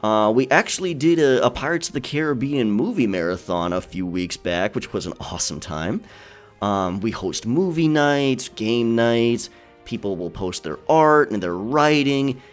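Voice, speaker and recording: A man playing a friendly, caring pirate captain in a soft, chatty, encouraging ASMR check-in. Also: medium pitch, lively intonation, moderate pace, faint music behind, close to an everyday voice. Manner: encouraging, chatty, hesitant, chuckling often. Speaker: ASMR storyteller and streamer.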